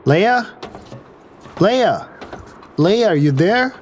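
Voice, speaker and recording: A man's voice: sits high, sing-song, very slow, noisy background, full character voice. A man playing a wry, pragmatic space crewman in an audio drama, dry and a little exasperated. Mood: alarmed.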